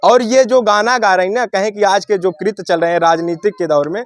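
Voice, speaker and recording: A man's voice: sits high, fairly even intonation, fairly quick, dry recording. A man, speaking as a local news reporter in a rhetorical, declamatory way that addresses the viewers. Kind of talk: spoken news commentary and interview.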